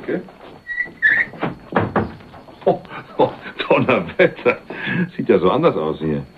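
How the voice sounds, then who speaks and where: An actor playing a flirtatious, pushy courier in a German radio crime drama, wry and given to small hesitant stammers. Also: male voice, fairly low pitch, very animated, takes it slowly. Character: flirtatious, pushy male courier passing through town. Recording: muffled phone-like old radio drama, faint noise.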